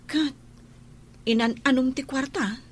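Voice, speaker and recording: A woman's voice: sits high, fairly even intonation, takes it slowly, slight background noise. A woman with a northern Philippine accent acting a pious, practical friend in a radio drama. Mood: sympathetic, advising.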